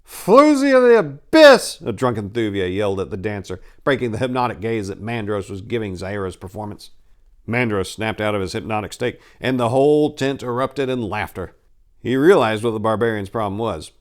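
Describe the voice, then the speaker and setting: A man's voice: fairly low pitch, brisk. A man narrating a story as host, in a dramatic, theatrical and emphatic storytelling style.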